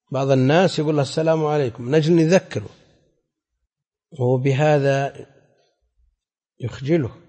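A man's voice: mid-range voice, warm tone, husky. A man teaching a religious class as a scholar, explaining in a didactic, conversational way and often putting questions to his students. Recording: echoey hall with long reverb.

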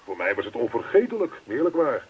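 An actor playing a jovial, pushy middle-aged neighbour in a radio play, hearty, chummy and insistent. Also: male voice, medium pitch, very animated, fast talker, breathy edge. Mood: chatty, coaxing. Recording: dull lo-fi radio play recording.

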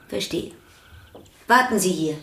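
A prim suspicious housekeeper, curt questions, echoey room sound. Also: female voice, on the low side, lively intonation, unhurried, fairly bright tone, slight background noise. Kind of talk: radio play acting.

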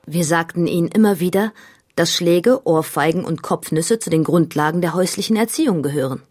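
A woman's voice: fairly low pitch, a little fast, a little mellow, dry recording, studio quality, close to an everyday voice. An actress playing a sardonic mother in a chorus of parents, reciting in a radio play.

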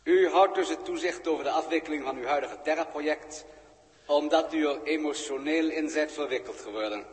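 An actor in a 1960s radio drama playing a composed, deferential alien official, with formal, measured and stately diction. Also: male voice, a little high, natural voice. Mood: solemn, revealing. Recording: reverberant 1960s radio studio recording.

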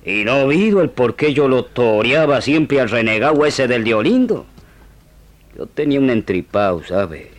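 Old wounded rural Argentine gaucho soldier, rustic and emotional, lively pitch, drawn-out pacing, for radio drama. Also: male voice. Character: old rustic wounded gaucho frontier sergeant, stubborn, grieving.

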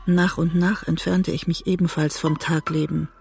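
Guilt-ridden wife in a bitter marriage, gravelly voice, inward radio-play monologue, soft music underneath. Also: female voice, deep voice, flat delivery, exaggerated voice. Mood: weary, detached. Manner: introspective, measured storytelling. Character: disillusioned, guilt-ridden woman writer trapped in a bitter marriage. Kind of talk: literary radio drama monologue, first-person narration.

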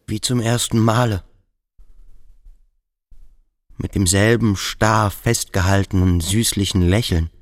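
A man playing a brooding, earnest cadet in a radio drama, hushed and searching. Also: fairly low pitch, fairly even intonation, moderate pace, close to an everyday voice. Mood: grave. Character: brooding, earnest male cadet at a boarding school. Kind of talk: literary radio drama acting.

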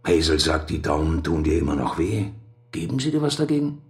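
A man's voice: a bass voice, fairly quick. An actor playing a scheming male acquaintance visiting a prisoner in a radio drama, talking in an insinuating, worked-up way. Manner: insinuating, worked up, lively delivery.

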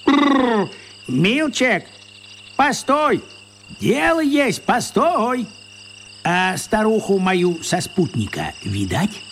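Radio play role: folksy old country carter, high-pitched and very animated, rustic, unhurried philosopher. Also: male voice, slight background noise, heavily acted. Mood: calling out. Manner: rustic folksy dialect speech.

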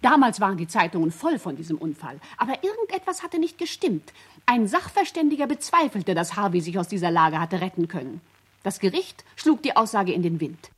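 A sceptical woman witness in a radio drama. Her voice is breathy and of middle pitch. She gives her account with lively swings of intonation. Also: fairly quick. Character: sceptical female witness recounting a suspicious accident. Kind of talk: radio play testimony.

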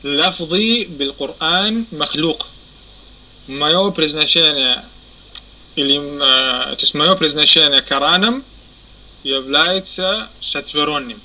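A man teaching a religious lesson. He pauses often, corrects himself, and keeps asking if his listeners understand. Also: on the high side, sharp tone, rough recording. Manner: halting, many fillers and self-corrections. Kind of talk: explanatory lesson.

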